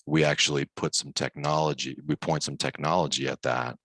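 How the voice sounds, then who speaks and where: A man's voice: a bass voice, flat delivery, brisk, a bit bright, no echo, clean studio sound. A man hosting a podcast interview in a casual, chatty conversational style. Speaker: podcast host.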